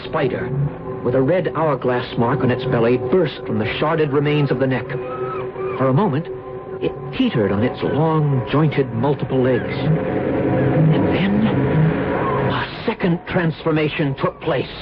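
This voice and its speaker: An actor playing an awestruck ordinary man narrating a supernatural tale in a radio drama, dramatic and deliberate. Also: a little high. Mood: awed, suspenseful. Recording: muffled old radio recording. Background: loud music.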